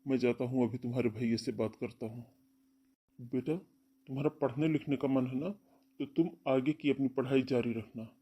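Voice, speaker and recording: Man acting a gentle, husky ageing father. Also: medium pitch, steady tone, normal speed, muffled recording, lightly acted. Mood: soothing. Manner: soothing, yielding. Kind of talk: Hindi cartoon family melodrama.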